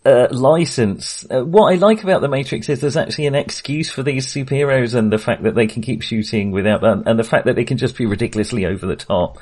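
A man's voice: mid-range voice, fast, hardly any pauses, darkish tone. A man in a casual film discussion, thinking aloud in a hesitant way and correcting himself as he goes. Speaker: film podcast host.

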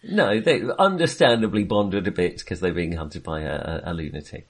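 Guy chatting about films, bit husky, voice goes up and down loads, hesitant and wandering. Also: low voice, very animated, normal speed. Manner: hesitant, wandering, chuckly self-amused asides. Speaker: film podcast co-host. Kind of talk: casual film discussion.

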